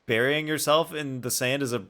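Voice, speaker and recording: A man's voice: medium pitch, fairly even intonation, normal speed, fairly bright tone. A man livestreaming a game with casual, rambling, playful commentary, often asking incredulous questions as he chats with viewers. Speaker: game streamer. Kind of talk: casual live gameplay commentary, chatting with viewers. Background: faint game music.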